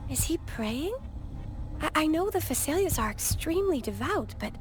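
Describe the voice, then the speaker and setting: A woman's voice: high-pitched, very animated, moderate pace. A woman playing an earnest, polite, devout young female acolyte in a video game. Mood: puzzled. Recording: game music behind the voice.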